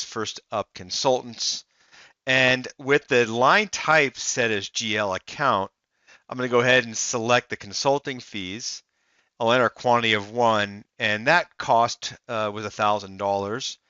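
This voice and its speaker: A man narrating a software demo as a voice-over, explaining it step by step in the first person. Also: medium pitch, unhurried, clean tone, no echo, highs cut off. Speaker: software demonstrator.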